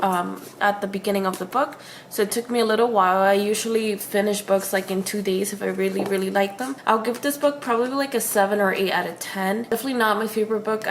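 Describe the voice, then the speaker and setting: A woman's voice: medium pitch, a little hiss or hum. Congested woman chatting casually. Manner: chatty, with frequent "like" fillers. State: sick and congested. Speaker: book reviewer on her channel. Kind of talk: casual chat.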